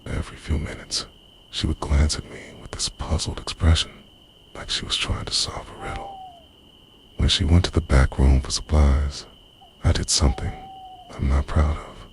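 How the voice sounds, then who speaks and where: A man narrating a scripted first-person ASMR story as a lovesick, obsessive lover, in a breathy, half-whispered, intimate delivery. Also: extremely low pitch, huge pitch swings, moderate pace, slight background noise. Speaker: audio roleplay performer.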